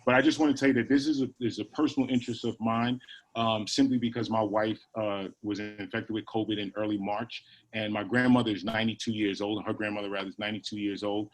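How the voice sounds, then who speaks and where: A man with a fairly low voice hosts a video-call panel. He reads introductions haltingly and apologizes when he stumbles over names. The sound carries the plain quality of a computer call. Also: level tone, low-quality recording. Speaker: panel moderator.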